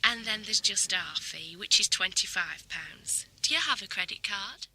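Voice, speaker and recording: An actress playing a chatty, businesslike British escort agency receptionist in a radio drama, familiar and coaxing. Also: female voice, medium pitch, animated, moderate pace, pushed and bright, a little hiss or hum, rough recording.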